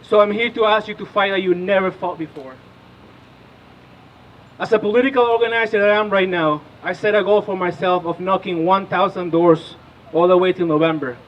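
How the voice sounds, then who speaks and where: A man's voice: high-pitched, fairly even intonation, fairly quick, dull audio, slight background noise. A man in his thirties from Peru, giving an impassioned rally speech as a political organizer and asking the crowd questions. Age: 30s. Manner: rousing, asks the crowd questions. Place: outdoor rally.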